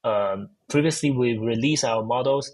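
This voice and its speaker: A man's voice: medium pitch, normal speed, a little mellow, low-quality recording. A man with a Chinese accent answering interview questions, hesitant with many fillers and restarts. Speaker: guest, AI researcher. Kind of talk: casual technical interview.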